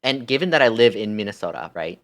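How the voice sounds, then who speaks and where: A young American man chatting casually as a live streamer, ranting in an animated, exasperated way and swearing freely. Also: fairly low pitch, fast talker. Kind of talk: casual livestream chat.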